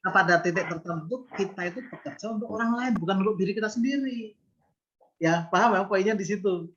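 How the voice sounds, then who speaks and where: A man's voice: sits high, fairly quick, a bit bright, slight background noise. Man, 50s, earnest, talks through his reasoning, dull lo-fi sound. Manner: earnest, explains with rhetorical questions. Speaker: business owner guest. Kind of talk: reflective interview talk.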